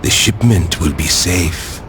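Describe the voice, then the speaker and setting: An actor playing a confident crime lieutenant in a game cutscene, unhurried and reassuring. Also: male voice, low-pitched, noisy background. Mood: assured. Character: smooth-talking, confident male crime lieutenant. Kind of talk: video game cutscene acting.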